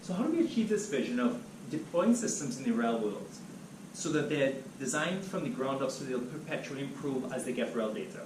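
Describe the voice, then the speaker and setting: A man's voice: mid-range voice, a little fast. A man from Trinidad and Tobago, giving an academic seminar talk as guest speaker in a lecture room. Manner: animated, steady lecturing. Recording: echoey room, distant mic, background noise.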